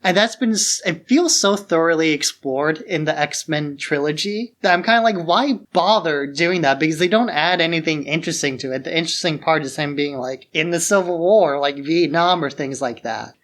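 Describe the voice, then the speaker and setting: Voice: mid-range voice, normal speed, few pauses, a bit bright. A podcast co-host chatting casually about films in an informal discussion.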